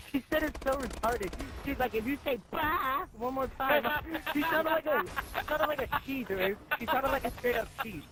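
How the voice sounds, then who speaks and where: Teen boy, high-pitched, slangy storyteller who voices both sides of every exchange, on a noisy gaming mic with gunfire behind. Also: moderate pace. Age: teenager, high school student. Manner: animated, acts out both sides of conversations, slangy. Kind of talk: casual anecdote telling among friends.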